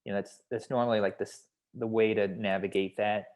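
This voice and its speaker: A man's voice: fairly low pitch, level tone, fairly quick, a little mellow. A man explaining his notes on a script in a hedging, conversational way, like a consultant at a feedback session. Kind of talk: feedback discussion at a table read.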